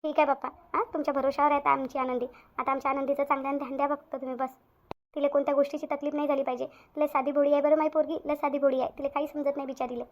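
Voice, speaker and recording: Woman voicing a high-pitched, anxious Marathi village mother. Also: flat delivery, rapid-fire, muffled recording, slight background noise. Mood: anxious pleading. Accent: Vidarbha Marathi. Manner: pleading, repetitive. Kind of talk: amateur cartoon story dialogue.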